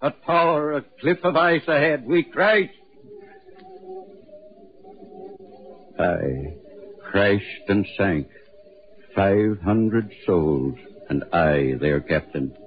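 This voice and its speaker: A man's voice: lowish voice, very animated, slow, muffled recording, slight background noise. Ghostly sea captain, haunted and merciless, intones his lines in repetitive, solemn phrases. It is 1940s radio horror acting, heard through a thin, band-limited old recording. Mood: anguished, fanatical.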